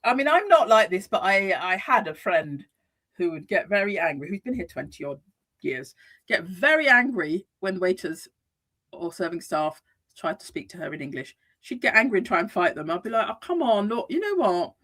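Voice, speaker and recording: A British-born woman talks through a relaxed interview, her voice swinging widely up and down as she speaks. She restarts sentences often and laughs easily, with a middle-pitched voice. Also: moderate pace, rough recording. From United Kingdom. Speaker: guest, psychotherapist and life coach. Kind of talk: casual conversational interview. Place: Madrid, Spain.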